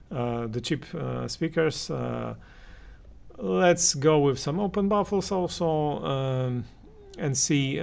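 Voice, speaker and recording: A man with a gravelly, creaky voice talks through his opinions on audio gear. He speaks slowly and haltingly, pausing often as he works out each point. His pitch sits in a middle range for a man. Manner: hesitant, halting delivery with many pauses. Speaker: audio reviewer and channel host. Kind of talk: unscripted opinion commentary on hi-fi gear.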